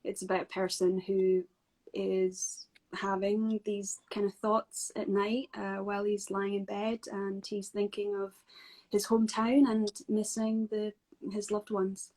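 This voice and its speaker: A woman's voice: medium pitch, little pitch movement, unhurried, a little mellow, low-quality recording. A woman from Scotland with a Scottish accent, a guest talking casually from home, with many fillers and restarts. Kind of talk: casual video-call interview.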